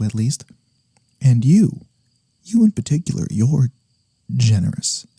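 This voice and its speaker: Guy playing a polite soft incubus demon, super dark velvety breathy voice, really expressive, close dry asmr. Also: mid-range voice, dry recording, exaggerated voice. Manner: polite, hesitant, softly spoken, sometimes whispering. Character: gentle, courteous, wholesome male incubus demon. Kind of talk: soft-spoken ASMR fantasy roleplay.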